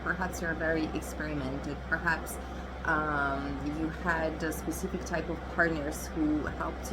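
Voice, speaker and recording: A young adult woman, a native Italian speaker, gives a candid, chatty tarot reading in a voice pitched low for a woman. She talks assertively and laughs easily. Steady traffic noise runs clearly behind her. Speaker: tarot reader.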